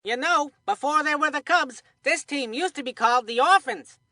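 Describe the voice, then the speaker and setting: A woman's voice: high voice, moderate pace, bright, forceful tone, no room sound, studio quality. A woman voicing a folksy cartoon baseball commentator for a kids' game, telling trivia in a chatty storytelling way. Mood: folksy, amused. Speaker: sports commentator.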